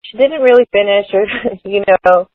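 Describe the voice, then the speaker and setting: A woman's voice: medium pitch, unhurried, dry recording. A woman from Los Angeles, a guest who tells her life story in an open, earnest way. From Los Angeles, United States. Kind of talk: casual conversation. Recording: dry, phone-like narrow sound.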